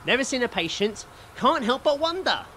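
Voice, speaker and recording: An earnest, nervous guard from a comic radio play, acted by a man, speaks deferentially. The voice is sharp and very animated, over faint noise. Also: very high voice, moderate pace, heavily acted.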